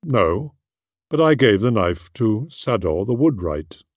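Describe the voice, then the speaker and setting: A man's voice: on the low side, very animated, takes it slowly, no echo, clean studio sound, full character voice. A man voicing an eager, generous young son of a lord in an audiobook, earnest and declamatory. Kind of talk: audiobook character voice.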